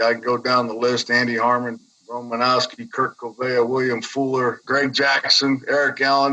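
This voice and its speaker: Plainspoken ex-player, bright but lo-fi. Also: male voice, medium pitch. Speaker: former football player. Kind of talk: interview.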